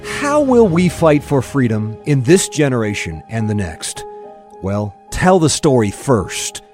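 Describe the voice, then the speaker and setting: Dramatic American man over music, very animated. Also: medium pitch, a little slow. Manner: earnest, dramatic storytelling delivery. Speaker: author promoting his own book. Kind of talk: scripted radio advertisement. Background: prominent music bed.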